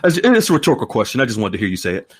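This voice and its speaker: A man hosting a casual call-in chat, animated and laughing often, with frequent stammering restarts. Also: medium pitch, very fast, noisy background. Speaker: host.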